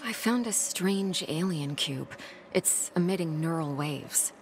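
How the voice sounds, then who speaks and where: Game scientist lady, kinda low voice, careful and analytical, clear noise behind her. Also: expressive, heavily acted. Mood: focused, curious. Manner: measured, analytical. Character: observant female scientist explorer in a sci-fi game. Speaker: game protagonist. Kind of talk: scripted video game voice acting, spoken log entries and musing aloud.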